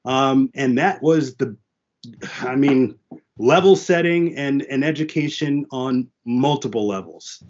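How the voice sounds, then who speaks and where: A man's voice: medium pitch, slow, darkish tone, lo-fi sound. A man whose first languages were French and Haitian Creole, telling his story candidly as a panel guest, with lots of "uh" and "you know". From United States (New York and New Jersey). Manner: earnest, frequent "uh" and "you know" fillers.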